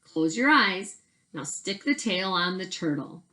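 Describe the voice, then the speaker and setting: A woman's voice: lowish voice, very animated, a little slow, bright, forceful tone, exaggerated voice. A woman voicing an eager, caring young male muskrat in a storybook, speaking in an emphatic, exclaiming way. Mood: bossy, instructing.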